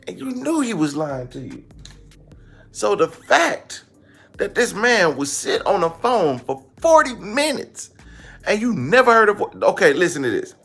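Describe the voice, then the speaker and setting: A man's voice: sits high, gravelly, pushed and bright. An American man giving casual comedic commentary, slangy and blunt, repeating phrases for emphasis. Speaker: online commentary host.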